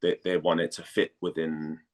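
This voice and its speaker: Low-voiced guy from denmark chatting in an interview, halting, repeats himself while finding words. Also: flat delivery. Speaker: actor and voice actor being interviewed. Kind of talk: casual conversational interview.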